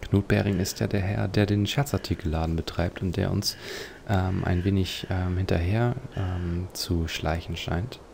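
A man's voice: sits low, steady tone, normal speed, gravelly, darkish tone, a little hiss or hum. A man hosting a let's play, giving leisurely, reflective commentary on a video game.

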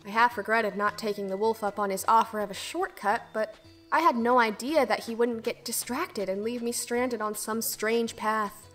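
An earnest, dutiful young girl acted by a woman with a fairly high voice. She tells her own storybook tale in formal first-person narration, with soft music underneath. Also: moderate pace, close to an everyday voice. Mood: earnest, reflective.

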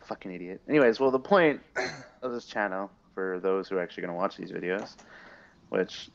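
A man's voice: deep voice, a little fast, gravelly. A teenage man bantering casually and teasingly over a game, swearing a lot, as co-host of a gaming channel. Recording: dull, faintly noisy home mic.

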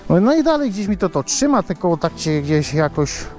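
A man's voice: high voice, sing-song, a little fast, darkish tone, faint music behind. A man vlogging about his motorbike in a rambling, casual way, swearing often and repeating himself. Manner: rambling, swears a lot, many fillers and repeats. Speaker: motovlogger. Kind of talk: casual chat to camera.

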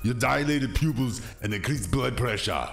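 A man voicing a deadpan, literal-minded robot sidekick in a comedy review show. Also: medium pitch, normal speed, vocal fry, faint music behind. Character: deadpan robot sidekick who hates spoilers.

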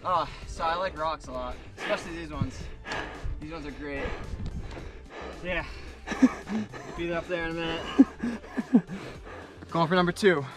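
A man's voice: on the high side, measured pace, pauses often, over music. A young man hiking outdoors, joking playfully while he is heard from a distance on his friend's camera. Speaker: hiking companion. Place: outdoors on a mountain trail. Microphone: heard from a distance on the other hiker's camera.

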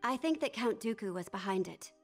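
A woman's voice: on the high side, animated, a little fast, music in the background. A woman playing an earnest, poised young senator in a video game. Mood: grave. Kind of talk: video game cutscene acting.

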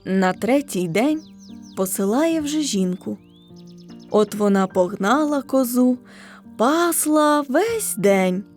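A woman storyteller reads a children's folk tale in a high voice. Her delivery is expressive and measured, with a slow pace and music behind her.

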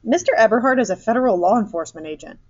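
A woman's voice: fairly high pitch, animated, racing, a little mellow, no room sound, lo-fi sound, voice pushed up. A woman storyteller playing a dutiful, earnest male police patrolman in a story.

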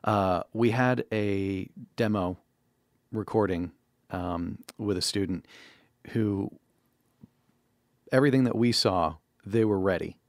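Guy podcast host chatting casually, lowish voice, really dry studio sound. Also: slow pace, long pauses, no echo.

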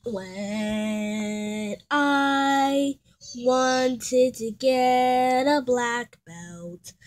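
A proud karate-master number block, put on by a woman in a homemade paper-cutout play. The voice sits high and the lines come out very slowly, chanted like a sing-song. It sounds like a tabletop game at home. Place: indoors at a tabletop.

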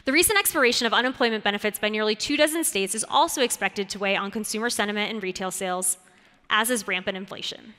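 A woman's voice: mid-range voice, quick pace, pushed and bright. A woman in her thirties giving a polished, scripted keynote speech on a conference stage, stressing key points with lifts in her voice. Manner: clear, polished delivery with rising emphasis on key points. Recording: reverberant conference hall.

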